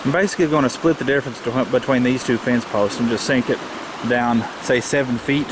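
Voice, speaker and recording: A man giving a casual, unscripted hands-on tutorial, thinking aloud as he works outdoors. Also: mid-range voice. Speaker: DIY vlogger. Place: outdoors in a rural yard. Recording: noisy outdoor camcorder audio. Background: clear outdoor ambient noise.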